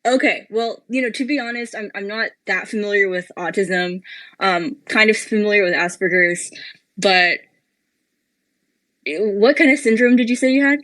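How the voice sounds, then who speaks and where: A woman's voice: medium pitch, normal speed, fairly bright tone. A woman hosting a live audio interview, asking friendly questions and laughing often. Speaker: interview host.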